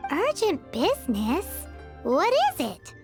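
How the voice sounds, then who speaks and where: A woman voicing a tiny, cheeky fairy companion in a video game, with a squeaky childlike voice and a perky, exaggerated anime delivery. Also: huge pitch swings, slow pace, exaggerated voice. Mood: probing. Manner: speaks of self in third person, perky and indignant. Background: playful game music.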